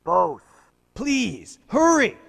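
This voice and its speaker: A man's voice: very high-pitched, wildly swooping pitch, extremely slow, gravelly, a bit bright, husky, no echo, exaggerated voice. A man playing a panicky, evasive surgeon with a flustered, whiny manner in a video game. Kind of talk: video game cutscene voice acting.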